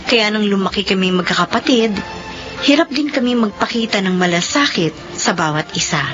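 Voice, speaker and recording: An actress playing a hardworking company officer and daughter in an expressive radio drama style. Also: female voice, mid-range voice, brisk, close to an everyday voice. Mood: regretful. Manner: expressive, code-switching between Filipino and English. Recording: muffled radio drama with music bed.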